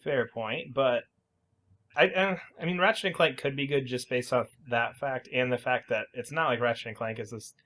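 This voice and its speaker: A man's voice: mid-range voice, normal speed, fairly bright tone. A man co-hosting a podcast, arguing his opinions in casual, conversational speech. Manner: opinionated, argumentative. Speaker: podcast co-host.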